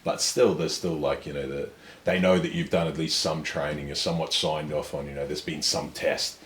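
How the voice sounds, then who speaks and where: An extremely deep-voiced young man, speaking in a measured and self-assured way. His voice sounds roomy, and a faint ambient hum sits behind it. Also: flat delivery, a bit bright. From Australia. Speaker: guest. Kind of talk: casual conversation. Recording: echoey room with faint ambience.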